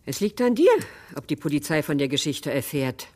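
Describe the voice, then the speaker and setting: An actor playing a calm, calculating man in a radio crime drama, speaking in a measured, persuasive way. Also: fairly high pitch. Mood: probing. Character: calm, calculating man pressing a quiet bargain.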